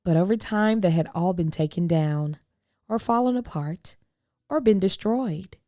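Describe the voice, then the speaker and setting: A woman reading a children's storybook aloud, expressively, with deliberate phrasing and dramatic pauses. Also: fairly low pitch, dark tone, no room sound, clean studio sound. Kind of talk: expressive picture-book read-aloud.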